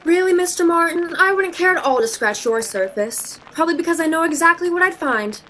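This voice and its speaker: A haughty young shop woman in an old-time radio romantic comedy acted by a woman. Her voice sits high and swings widely in pitch as she delivers cutting, scornful remarks with theatrical polish. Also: lively intonation, a little fast, sharp tone, slight background noise, exaggerated voice, poor audio. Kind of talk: vintage radio play acting.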